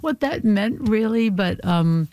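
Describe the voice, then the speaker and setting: A woman's voice: medium pitch, expressive, slow, darkish tone, no room sound. A middle-aged woman from Maine, speaking as an interview guest, thoughtful and hesitant, with many fillers and restarts. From Portland, Maine, USA. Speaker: interview guest, stage actor. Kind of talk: reflective casual conversation.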